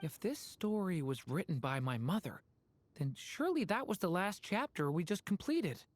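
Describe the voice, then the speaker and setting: An actor playing a gentle, polite young male dragon-born in a video game, earnest and reflective. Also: mid-range voice, very animated, normal speed. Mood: grateful, reflective. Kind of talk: natural video game voice acting.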